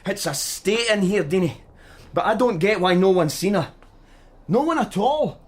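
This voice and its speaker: A man's voice: sits high, expressive, moderate pace, darkish tone, husky. An actor playing an agitated, suspicious man, blunt and insistent, in a naturalistic drama scene. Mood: suspicious, concerned. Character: agitated, suspicious man confronting a twelve-year-old boy. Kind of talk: naturalistic screen drama acting.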